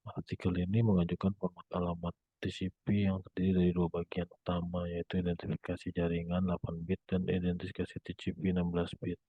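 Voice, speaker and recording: A young man reading a scripted academic presentation as a student, in a monotone with occasional stumbles. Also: low voice, quick pace, hardly any pauses, slightly rough, dry recording. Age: young adult. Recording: muffled phone-like narrow band sound.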